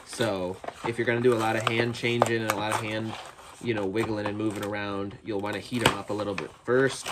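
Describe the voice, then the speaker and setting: A man's voice: on the low side, level tone, a little fast, heavy background noise. Stuffy-nosed man chatting about toys. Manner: rambling and chatty, many restarts, eager. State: stuffy and nasal from a cold, throaty with phlegm. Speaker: toy collector reviewing action figures. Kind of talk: casual unboxing review. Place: his toy building, a separate outbuilding.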